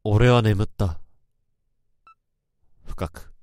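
A man's voice: lowish voice, very slow, a little mellow, a little hiss or hum. A man playing a troubled office worker who narrates his own story in the first person, in a brooding and anxious way. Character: troubled young male office worker, introspective. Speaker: narrator and lead.

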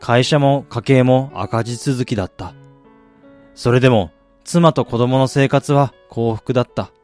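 A man acting in a radio drama as a driven businessman and husband, telling his life story in a measured, reflective way. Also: mid-range voice, a little mellow, natural voice. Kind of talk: radio drama monologue, literary narration. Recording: music bed behind the voice.

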